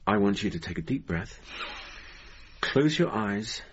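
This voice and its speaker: Earnest exasperated hypnotherapist, radio drama, animated pitch, halting manner, muffled recording. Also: male voice. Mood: calm, soothing. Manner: halting, repeats words when flustered. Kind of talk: naturalistic radio drama acting. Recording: dull lo-fi radio recording, audible noise.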